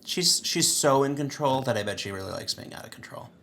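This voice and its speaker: A woman's voice: a bass voice, lively intonation, brisk, a bit bright, a little hiss or hum. A woman co-hosting a podcast in casual comedic chat, with a wry, playfully contrary manner. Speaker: podcast co-host.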